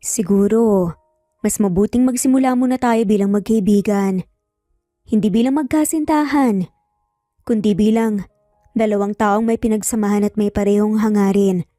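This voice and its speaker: Woman storyteller as earnest mother, mellow, measured, gently firm, storybook drama, dry recording. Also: medium pitch, no echo, soft background music, close to an everyday voice. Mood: calm, hopeful. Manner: calm, measured, sincere.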